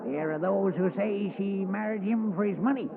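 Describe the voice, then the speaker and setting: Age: older. Figure: a gravelly surly old boatman. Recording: tinny vintage radio, busy barroom crowd behind. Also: sits high, moderate pace. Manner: curt, surly. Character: gruff evasive old male boatman. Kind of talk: 1940s radio acting. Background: barroom crowd chatter.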